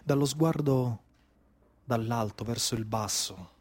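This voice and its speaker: Slow, theatrical Sicilian man storyteller. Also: mid-range voice, husky. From Sicily, Italy. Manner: declamatory, incantatory, deliberate phrasing with repetitions. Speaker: stage storyteller, actor. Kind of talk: theatrical monologue, dramatic storytelling.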